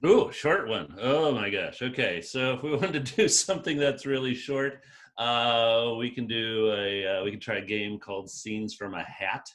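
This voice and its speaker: A man's voice: mid-range voice, moderate pace, a bit bright. A man running improv comedy games over a video call, laughing often as he talks. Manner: laughs often while talking. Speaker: improv game leader. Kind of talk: casual comedy banter.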